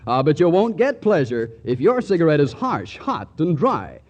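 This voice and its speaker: A man's voice: fairly high pitch, sing-song, normal speed, a little mellow, dull audio. A man announcing on 1940s radio in a folksy, jokey, salesmanlike manner. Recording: vintage 1940s radio broadcast.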